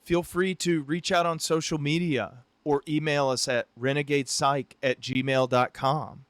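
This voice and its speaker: A man's voice: a little high, little pitch movement, measured pace, dry recording. An American man hosting a podcast in a casual, conversational way. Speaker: podcast host, psychiatric practitioner.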